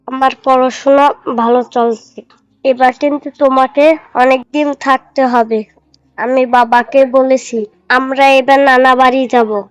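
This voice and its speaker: A woman's voice: high voice, fairly even intonation, unhurried, clear, resonant tone, no room sound, poor audio. A woman voicing a polite, eager, obedient young boy in an Islamic moral cartoon. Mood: happy, eager.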